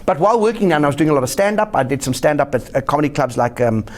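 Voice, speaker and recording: A middle-aged South African man, a comedian and interview guest, telling animated anecdotes with sudden jumps in pitch. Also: very fast. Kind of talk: casual interview storytelling.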